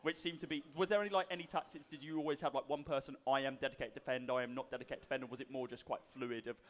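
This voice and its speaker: A young man casting a live esports match, excitable and shouting at big plays, his voice going hoarse. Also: medium pitch, racing. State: losing his voice. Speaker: esports caster. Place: live event stage.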